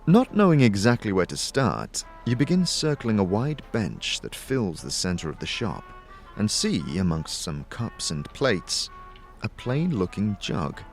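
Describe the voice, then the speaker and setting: A man narrating a fantasy adventure as a measured storyteller, in scripted second-person prose. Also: fairly low pitch, sing-song, soft background music. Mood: measured, descriptive.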